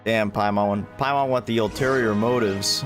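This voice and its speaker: A woman's voice: extremely low pitch, moderate pace. A woman livestreaming a game with casual, wry commentary and joking, slangy asides. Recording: game music behind the voice.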